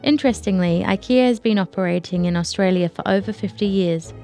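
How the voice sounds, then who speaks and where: A woman's voice: medium pitch, fairly quick, a little mellow, soft background music. A woman, a journalist speaking on a podcast about her own reporting. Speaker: journalist, interview guest. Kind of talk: conversational interview and reading aloud of an article.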